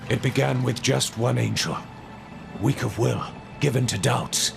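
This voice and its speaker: An actor playing a proud, self-righteous ancient angel guardian in a video game, speaking in a solemn, grandiose, measured way. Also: male voice, mid-range voice, vocal fry. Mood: grave, solemn. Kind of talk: video game cutscene acting. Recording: music behind the voice.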